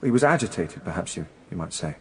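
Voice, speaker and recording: Hesitant witness, echoing hall. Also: male voice, deep voice, very animated, slightly rough, full character voice. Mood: reluctant, guarded. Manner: hesitant, guarded, halting. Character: uneasy gentleman witness, loyal and reluctant friend. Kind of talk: British radio courtroom drama acting. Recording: long echo of a large hall.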